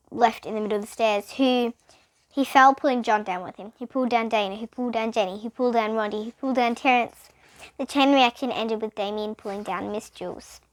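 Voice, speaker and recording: A female reader giving an expressive, dramatic read-aloud of a children's storybook. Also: fairly high pitch, no echo. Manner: animated, dramatic reading. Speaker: reader of children's bedtime books.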